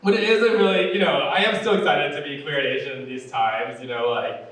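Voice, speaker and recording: A male stand-up comedian with a deep voice and a sweet, fruity cadence, telling jokes in a campy, chatty way full of "like" and "you know". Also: fast, a bit bright, far from the mic. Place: comedy club stage. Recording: very echoey room, voice off-mic.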